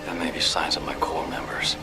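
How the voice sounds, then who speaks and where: An actor playing an earnest, caring and dutiful military medical corps commander in a video game. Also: male voice, rumbling low, level tone, brisk, gravelly, in a whisper. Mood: businesslike, concerned. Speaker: field officer. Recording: roomy, distant, music underneath.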